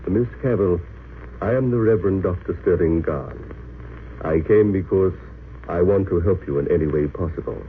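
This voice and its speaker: An actor playing a grave, compassionate chaplain in an old radio drama, speaking in a measured, formal way. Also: male voice, sits low, natural voice. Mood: gentle, earnest. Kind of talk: 1930s-40s radio drama acting. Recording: tinny, noisy vintage radio recording.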